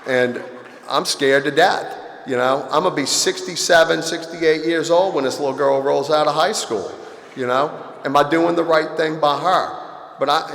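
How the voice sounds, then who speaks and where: A man's voice: medium pitch, a bit bright, slight background noise. A man of fifty giving an earnest, confessional recovery talk at a convention. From Louisiana. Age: 50s. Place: large convention hall. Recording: echoey hall audio.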